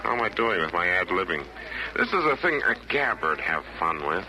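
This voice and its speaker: An actor playing a chatty, wisecracking announcer reporting from hell in a rhymed 1940s radio comedy. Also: male voice, low-pitched, sing-song, moderate pace, crowd noise. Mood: chatty, jokey. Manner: chatty, wisecracking, mock-newsreading. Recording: noisy old 1940s radio recording.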